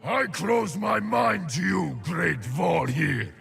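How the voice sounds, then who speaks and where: An actor playing a weary, solemn shaman warrior from a frozen wilderness in a video game, speaking in a gravelly voice with slow, weighty delivery. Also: high voice, sing-song, a bit bright, soft background music, full character voice. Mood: reflective. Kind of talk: video game character voice lines.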